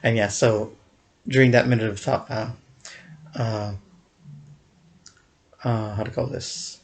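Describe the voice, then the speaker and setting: A man's voice: lowish voice, fairly even intonation, slow pace, creaky. A young man casually recounting a fan event in a vlog, rambling with many fillers and restarts, often chuckling. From United States.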